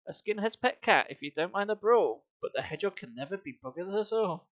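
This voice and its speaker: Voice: mid-range voice, animated, a little fast, a bit bright, no echo, muffled recording. A streamer reading comic verse live in an amused, lilting singsong, with chatty asides.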